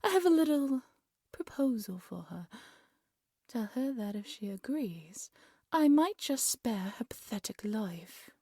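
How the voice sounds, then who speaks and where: A woman's voice: on the high side, very animated, a little slow, dark tone, husky, no echo, studio quality, pitched above the performer's own voice, full character voice. A woman playing a cruel, haughty evil queen in a fairy-tale audio drama, imperious and theatrical. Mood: scheming menace. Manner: imperious, menacing, theatrical commands.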